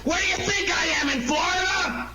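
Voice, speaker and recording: A man's voice: very high voice, fairly quick, raised voice, loud music behind the voice. A man playing an irate, sarcastic police inspector in a 1940s-style radio detective drama, scolding and blustering. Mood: indignant.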